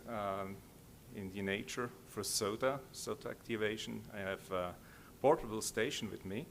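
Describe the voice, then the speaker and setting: Low-voiced man with a Swiss accent. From Switzerland. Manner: halting, hesitant delivery. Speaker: conference speaker. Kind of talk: informal slide presentation. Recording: low rumbling background noise.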